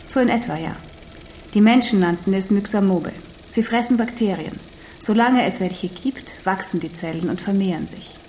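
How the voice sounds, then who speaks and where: An actress in a German radio drama playing a learned, patient non-human teacher who instructs precisely and firmly. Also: female voice, mid-range voice, moderate pace, darkish tone, a little hiss or hum, natural voice. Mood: instructing.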